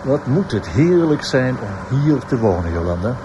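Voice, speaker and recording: An actor playing a senior police detective in a radio drama, dry, ironic and commanding in manner. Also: male voice, medium pitch, sing-song, normal speed, lo-fi sound, noisy background, lightly acted. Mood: wistful, wry.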